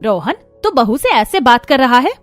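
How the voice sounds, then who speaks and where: A woman voicing a caring middle-aged mother-in-law who is gentle with her daughter-in-law and firm with her son. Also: sits high, sing-song, moderate pace, faint music behind. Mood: scolding. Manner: kind but stern.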